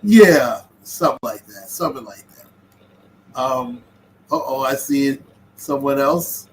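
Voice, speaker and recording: A man hosting a casual live chat who talks haltingly, with many pauses and fillers. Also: medium pitch, animated, rough recording. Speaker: stream host.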